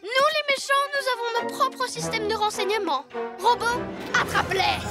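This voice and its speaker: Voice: extremely high pitch, expressive, moderate pace, loud music behind the voice. An actor voicing a cocky, theatrical child villain in a French cartoon dub. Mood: scheming, gloating.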